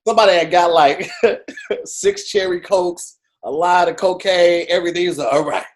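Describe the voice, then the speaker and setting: Lively high-voiced man riffing. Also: moderate pace. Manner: animated, profane, jokey storytelling with mocking quoted voices. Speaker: podcast co-host. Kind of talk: casual comedic chat.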